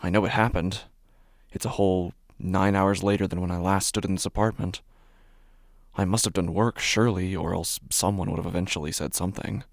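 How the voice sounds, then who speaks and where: A man playing an anxious, obsessive apartment dweller in a horror audio drama monologue, with a gravelly, often breathy voice and intense, deliberate delivery. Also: fairly even intonation, no echo, clean studio sound. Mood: hurried, unsettled. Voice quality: low, gravelly, often breathy, dropping to whispers. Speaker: horror fiction narrator.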